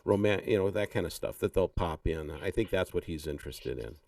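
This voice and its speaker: A man with a lowish voice hosts a casual podcast. He sounds a little away from the microphone, with no room echo, and talks in an informal, loose, conversational way. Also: expressive, fairly quick, vocal fry, a little mellow. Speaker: podcast host, film composer. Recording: dry but distant from mic.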